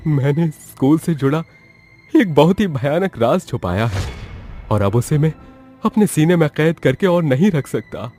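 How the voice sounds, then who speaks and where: A man's voice: fairly high pitch, expressive, unhurried, warm tone, soft background music, pitched above the performer's own voice. A man voicing a secretive male school principal in a horror story, stern, scolding and dismissive. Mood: remorseful. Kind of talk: dramatic story character acting.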